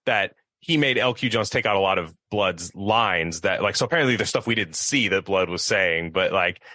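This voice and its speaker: A man's voice: deep voice, moderate pace, bright, forceful tone, no room sound. A man in casual podcast chat, rambling in slangy, profane language and leaning heavily on "like", close to the microphone.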